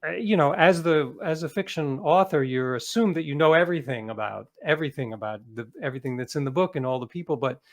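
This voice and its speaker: Middle-aged male author as interview guest, slightly high voice, reflective and conversational. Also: expressive, fast, hardly any pauses.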